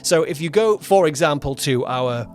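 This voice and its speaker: A man's voice: fairly high pitch, animated, moderate pace, soft background music. A man co-hosting a podcast in casual conversation, talking in a rambling way and often correcting himself mid-sentence. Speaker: podcast co-host and producer. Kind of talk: casual chat about a production.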